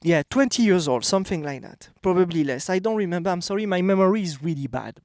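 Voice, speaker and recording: A man's voice: sits high, animated, fast talker, darkish tone, dry recording, studio quality. A man in his thirties with a French accent, giving a blunt, emphatic motivational talk to camera outdoors. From France. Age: 30s. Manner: blunt, emphatic, repeats "okay?". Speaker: vlogger.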